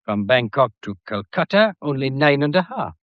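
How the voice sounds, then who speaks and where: A man acts out a methodical police inspector from a radio mystery. His pitch swoops widely as he lays out points with pedantic, wry courtesy and deliberate timing. The sound is dry and rather dull. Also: very animated, dry recording, close to an everyday voice. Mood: pompous, expansive. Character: methodical, theatrical, politely probing male police inspector. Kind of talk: detective radio drama acting.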